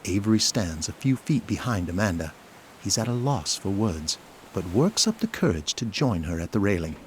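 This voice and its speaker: A man's voice: fairly low pitch, big pitch swings, moderate pace, a little hiss or hum. A man reading scripted dramatic narration for an audio drama.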